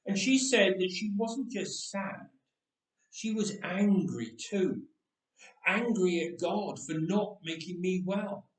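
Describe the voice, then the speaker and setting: A man's voice: high voice, slow pace. A man reading a storybook tale as a candid thirteen-year-old boy who recalls his own death in a chatty, matter-of-fact way. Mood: matter-of-fact, reflective. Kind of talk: first-person storybook reading. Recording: echoey room, distant microphone.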